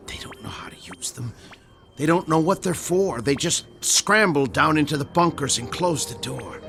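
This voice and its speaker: An actor playing a shaken, fatalistic scientist in a radio drama, speaking haltingly and dropping into whispers. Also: male voice, a little high, expressive, vocal fry, fairly bright tone, soft background music. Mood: shaken, agitated. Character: Bob, shaken male scientist locked out, fatalistic.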